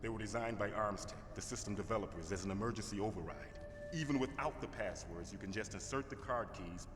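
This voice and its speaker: Casting: actor as anxious male hostage official, lowish, deliberate, heavy reverb over music. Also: natural voice. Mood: urgent explaining. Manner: deliberate, explanatory. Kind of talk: video game voice acting. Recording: echoey reverberant game audio, music behind.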